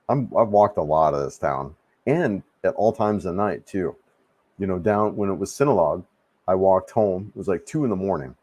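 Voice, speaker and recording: Laid-back man in his fifties, low voice. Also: darkish tone, dry recording. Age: 50s. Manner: rambling, restarts often, laid-back and friendly. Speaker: livestream host answering chat. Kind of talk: casual live chat. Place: home room.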